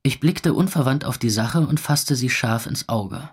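An actor playing a weary, sardonic young club owner, narrating his own life in a deadpan way for a radio play. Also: medium pitch, measured pace, slightly rough. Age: young adult. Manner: deadpan, dry.

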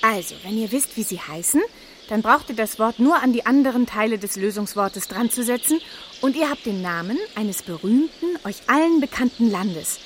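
A woman playing a brisk, resolute radio reporter who addresses young listeners in a children's radio play. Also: a little high, animated, slight background noise, natural voice. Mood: explaining.

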